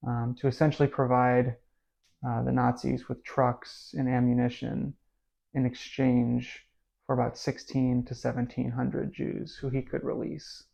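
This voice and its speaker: Flat, gravelly-voiced man explaining. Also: mid-range voice. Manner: measured explaining, with um and uh. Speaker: grandson of interviewee. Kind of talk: documentary interview.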